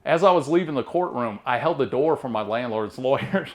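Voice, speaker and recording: A man with a Southern-sounding drawl, lively pitch. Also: brisk. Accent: Southern-sounding American drawl. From Maryland, near Baltimore. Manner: self-deprecating, deadpan delivery of punchlines. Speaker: stand-up comedian. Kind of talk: stand-up comedy set.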